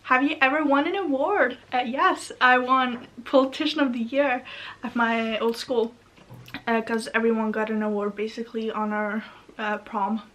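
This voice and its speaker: A young Norwegian woman talks on and on in a loose, rambling way, stacking fillers and side thoughts. Her voice sits a little high and her accent colors her English. She speaks from her bedroom. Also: steady tone, normal speed, fairly bright tone. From Norway. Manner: chatty, rambling, many fillers. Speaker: vlogger. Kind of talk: rambling casual monologue.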